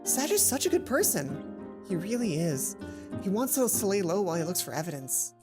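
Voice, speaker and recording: A woman hosting a let's play, reading a visual novel aloud and adding chatty, informal, animated asides. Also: mid-range voice, a little fast. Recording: music behind the voice. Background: game music.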